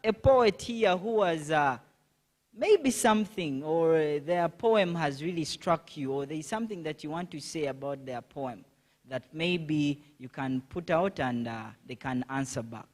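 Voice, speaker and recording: A man's voice: a little high, expressive, unhurried. A man moderating a conference panel, talking chattily with many fillers and repeated okays. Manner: chatty, with frequent fillers and "okay". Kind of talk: event moderation. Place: conference hall.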